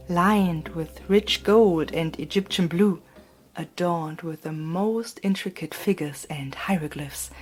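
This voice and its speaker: A woman narrating a fantasy audiobook in a soft, breathy, hushed voice. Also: lowish voice. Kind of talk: scripted narration. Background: faint music.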